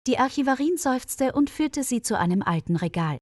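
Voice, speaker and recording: A woman reading a scripted mystery story for language learners in a measured, careful storyteller's delivery. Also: high voice, animated, no room sound, studio quality.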